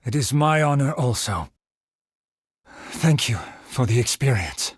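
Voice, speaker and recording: A man voicing a principled young samurai in an anime video game, formal, courteous and restrained, with a husky voice. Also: medium pitch, lively intonation, a little slow, no echo, studio quality. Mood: respectful. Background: music.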